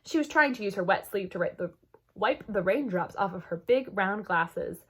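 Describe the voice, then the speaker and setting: A woman reading a children's chapter book aloud as an expressive storyteller. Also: medium pitch, normal speed, husky.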